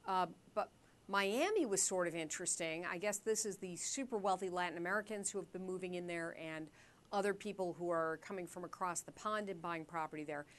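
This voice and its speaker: A woman's voice: fairly low pitch, brisk. A woman hosting an interview show, asking conversational, curious questions while losing her voice. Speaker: show host.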